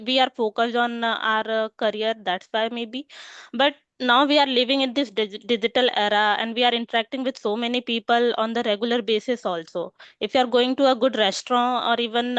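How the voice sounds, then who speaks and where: Woman learner, slightly high, smooth voice, dull lo-fi call audio, hesitant. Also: fairly bright tone, no echo. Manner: hesitant, many "uh" pauses. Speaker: English learner. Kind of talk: speaking practice answers.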